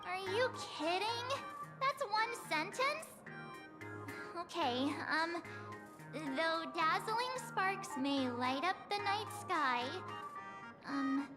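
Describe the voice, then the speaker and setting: Game heroine: very high girlish voice, perky and informal, unhurried, music behind. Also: heavily acted. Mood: flustered. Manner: bubbly, informal, expressive. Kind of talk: scripted game dialogue.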